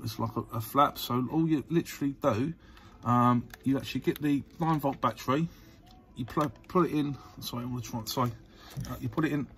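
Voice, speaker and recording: A man giving a casual how-to demonstration as a channel host, rambling and hesitant, often repeating himself. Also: medium pitch. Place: indoors at a workbench.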